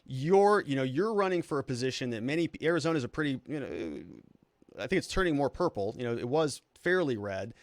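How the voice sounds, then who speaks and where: Fast-talking male host, dry sound. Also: medium pitch, lively intonation, no echo. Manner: rambling, full of "you know" and restarts. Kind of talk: casual interview chat.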